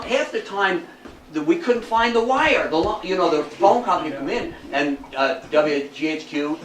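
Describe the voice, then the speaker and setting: An older man with a slightly high voice talks informally to a group in an echoey room. He sounds folksy and halting, repeating words as he reminisces. The microphone is some distance away. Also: fairly bright tone. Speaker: guest speaker at a local history talk. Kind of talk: informal talk with audience discussion, reminiscing. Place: meeting room with an audience. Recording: echoey room, distant mic, background hiss.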